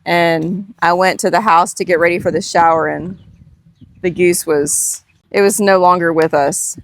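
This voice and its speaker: Woman, parent co-host of a casual family vlog, lower-pitched, dry sound. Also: fairly even intonation, a little slow, no echo. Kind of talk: casual chat.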